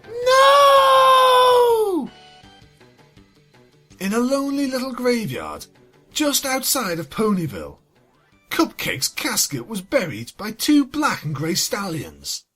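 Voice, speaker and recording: A woman's voice: mid-range voice, wildly swooping pitch, a little slow, slightly rough. A woman reading fan fiction aloud as a dramatic scripted narration, with a wry, theatrical manner. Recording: music behind the voice.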